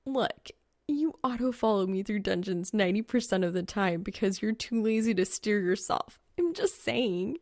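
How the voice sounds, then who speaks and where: A woman's voice: mid-range voice, expressive, moderate pace, a little mellow, no echo, close to an everyday voice. A woman voicing a dry, grumpy young male gamer with a sardonic drawl in an audiobook story.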